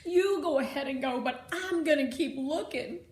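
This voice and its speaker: A woman's voice: sits high, moderate pace, pitched above the performer's own voice. A woman voicing a grieving, headstrong young girl in a children's story, emotional and insistent. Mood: defiant. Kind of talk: children's audiobook character acting. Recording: roomy sound with faint noise.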